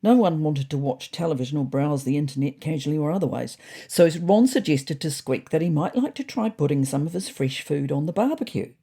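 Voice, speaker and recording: A woman narrating a storybook diary as a fussy, indignant male house cat, in a wry, prim, deadpan manner. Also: low voice, slightly rough, full character voice. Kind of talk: first-person storybook narration.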